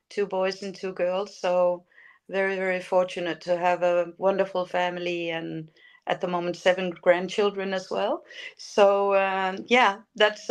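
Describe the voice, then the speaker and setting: An older woman from Denmark, whose first language is Danish, speaks as a podcast guest. Her voice sits at a medium pitch. She talks casually and hesitantly, thinking aloud as she goes. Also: clear, resonant tone. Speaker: podcast guest, lifestyle coach. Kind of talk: casual interview talk.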